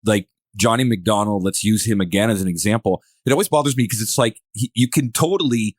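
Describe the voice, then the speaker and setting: A man's voice: lowish voice, brisk, a little mellow, no echo, clean studio sound. A man with a Canadian accent hosting a casual podcast discussion, musing conversationally and putting questions to his guests. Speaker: podcast host.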